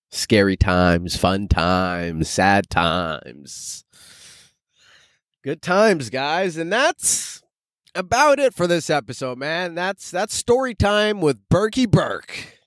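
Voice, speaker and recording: A man's voice: lowish voice, slow, no room sound, studio quality. A man in his thirties from Canada, hosting a casual solo podcast and telling stories in slangy, profane talk. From Canada, later Arizona. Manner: slangy, animated, profane, addressing listeners as guys. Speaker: podcast host and stand-up comedian.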